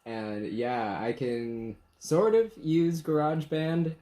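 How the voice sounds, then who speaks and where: Joking young man, relaxed chat. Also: a little high, slow. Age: young adult. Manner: relaxed, joking, chuckles a lot. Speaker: channel co-host. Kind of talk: casual get-to-know-you chat. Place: small bedroom indoors.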